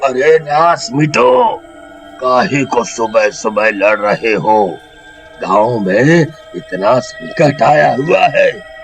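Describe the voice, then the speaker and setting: Very slow-talking old village elder played by a man. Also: mid-range voice, very animated, gravelly, soft background music, full character voice. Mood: scolding.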